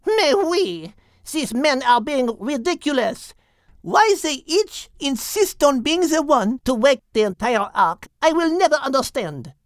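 A woman's voice: very high voice, sing-song, slow pace, few pauses, a bit bright, no echo, clean studio sound, pitched above the performer's own voice, exaggerated voice. Woman doing a haughty French hen. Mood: prim. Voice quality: mock French phrases.

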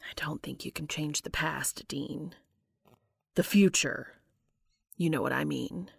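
A woman voicing a loving male doctor in a story, soft and rumbling, sad but firm. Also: slow, vocal fry, slightly rough, no room sound, studio quality, exaggerated voice.

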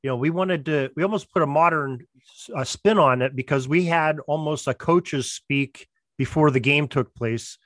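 A man's voice: fairly high pitch, moderate pace, fairly bright tone, no room sound. A man in an interview explaining things casually as a producer, repeating words as he builds each point. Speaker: podcast drama producer.

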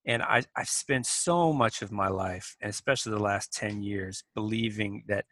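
A man answering interview questions in a measured, thoughtful way, with small restarts. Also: fairly low pitch, fairly bright tone, no room sound. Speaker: podcast guest.

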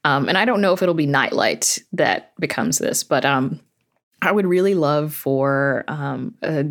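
A woman's voice: low-pitched, expressive, a little slow. A woman speaking as an interview guest, explaining her thoughts casually and peppering them with "you know.". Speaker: podcast creator and narrator, interview guest.

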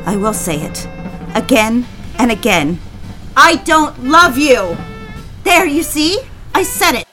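An actress playing a desperate, obsessive mistress in an audio drama, with a needy, confessional manner. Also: female voice, high voice, sing-song, slow, bright, forceful tone, faint music behind, full character voice. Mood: hysterical anger. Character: desperate, obsessive mistress pleading for her lover's attention.

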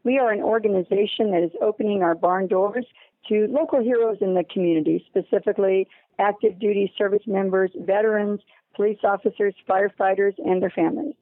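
A woman's voice: medium pitch, steady tone, brisk, dry recording. A woman interviewed as a charity representative, explaining things over a narrow phone line.

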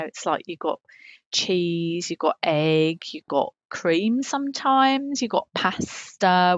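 A woman's voice: lowish voice, no room sound. A woman co-hosting a podcast, chatting in a rambling, hesitant, matter-of-fact comic style. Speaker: podcast co-host. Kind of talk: casual comic podcast chat.

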